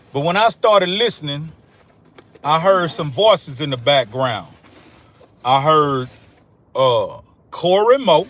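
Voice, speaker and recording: A man with a fairly high voice tells a story on a livestream. He speaks very slowly and deliberately, in casual slang, leaving pauses between phrases. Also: a bit bright.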